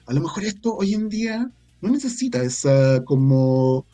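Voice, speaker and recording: A man's voice: fairly high pitch, very animated, moderate pace, warm tone. A man with a Chilean Spanish accent, talking casually and reflectively as an interview guest, peppering his speech with colloquial slang. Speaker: guest, audio drama creator and scriptwriter.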